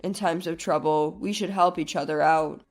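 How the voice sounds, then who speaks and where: A woman's voice: low-pitched, fairly even intonation, normal speed, faint music behind, voice pushed down, lightly acted. A woman voicing a kind, supportive young husband in a narrated family drama. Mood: kind, calm.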